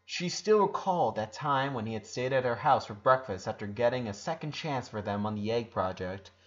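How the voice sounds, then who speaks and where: A man reading a story aloud as a narrator, with expressive, emphatic delivery and dramatic stresses. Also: medium pitch. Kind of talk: scripted narration, audiobook-style story reading. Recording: dull lo-fi recording.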